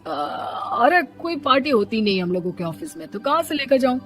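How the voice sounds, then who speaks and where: A woman's voice: high voice, big pitch swings, a little fast. Woman as cartoon husband, tired, evasive, reluctant, music underneath. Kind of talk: Hindi cartoon family drama.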